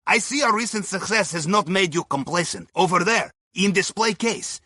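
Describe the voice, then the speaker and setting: An actor playing a wry, courteous Russian submarine pilot, advising on missions in a video game with a Russian accent and clipped grammar. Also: male voice, high voice, animated, normal speed, pushed and bright, slightly rough, dry recording, studio quality, full character voice. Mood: wry, instructive. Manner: wry, deferential, clipped grammar with dropped articles. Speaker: mission handler on comms. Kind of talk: scripted video game dialogue.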